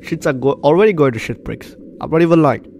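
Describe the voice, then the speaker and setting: A man's voice: a little high, fast, vocal fry, darkish tone, slight background noise. Jokey male gamer, sick with flu. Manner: jokey, repeats phrases, banters with characters. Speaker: gaming YouTuber. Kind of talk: let's play commentary, reading game text aloud.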